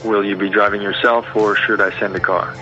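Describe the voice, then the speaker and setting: A man's voice: lowish voice, little pitch movement, a little fast, pushed and bright. An actor playing a composed, guarded man of business, speaking formally and with control in an audio drama phone call. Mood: cool, guarded. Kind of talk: naturalistic audio drama dialogue. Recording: dull, narrow phone call. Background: faint music.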